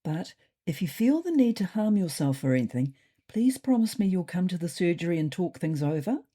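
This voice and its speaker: A woman's voice: lowish voice, big pitch swings, fairly quick, mellow. A woman voicing an earnest, concerned female vet in a comic storybook reading.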